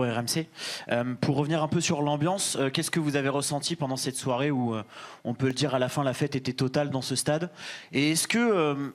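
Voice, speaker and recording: A male sports reporter asks a question from the back of a press conference room, behind the cameras. His voice has ordinary middle pitch. He hesitates often and fills his pauses with small sounds. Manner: hesitant question with many fillers.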